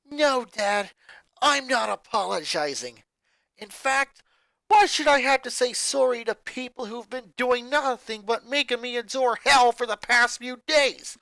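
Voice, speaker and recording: A fan fiction reader voicing an angry eleven-year-old boy who yells through gritted teeth and turns sarcastic. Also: medium pitch, unhurried, gravelly, no echo, voice pushed up, low-quality recording. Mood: furious.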